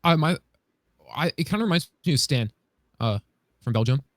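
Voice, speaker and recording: Halting man chatting on a podcast, dry sound. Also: on the high side, lively intonation, dry recording. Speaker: podcast co-host. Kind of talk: casual chat.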